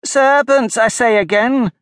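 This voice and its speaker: A man doing a shrill, scolding pigeon. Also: a little slow, a bit bright, no echo, clean studio sound. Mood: shrieking, aggrieved. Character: fretful, shrill female pigeon guarding eggs.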